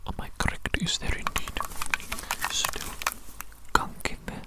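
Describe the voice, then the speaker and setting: An ASMR performer playing a fussy, obsessive evil doctor in a breathy whisper, repeating phrases theatrically. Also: measured pace, close to an everyday voice. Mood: disapproving, fussy. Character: fussy evil doctor fixated on cleaning the listener.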